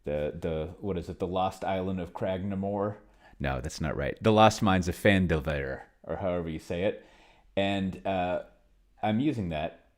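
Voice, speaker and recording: A man's voice: sits low, normal speed. A man giving a casual, unscripted livestream walkthrough, offhand and self-deprecating, often trailing off and correcting himself mid-thought. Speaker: livestreamer demonstrating solo tabletop roleplaying with an AI.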